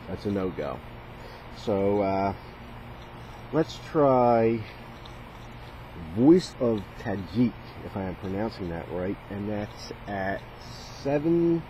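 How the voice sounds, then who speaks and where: A man's voice: lowish voice, lively intonation, takes it slowly, pauses often, mellow. A man casually demonstrating a radio as a hobbyist, hesitant and thinking aloud as he goes. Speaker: radio hobbyist presenting a video. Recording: noisy, radio static in background. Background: shortwave radio static and chatter.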